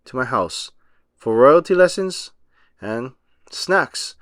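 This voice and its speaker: A man voices a snobbish cartoon squid in a storybook, gloomy and sniffing, quick to scold. The delivery swings between flat mutters and sharp outbursts in a dry, close sound. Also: medium pitch, no room sound. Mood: grumpy. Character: grumpy snobbish adult male squid.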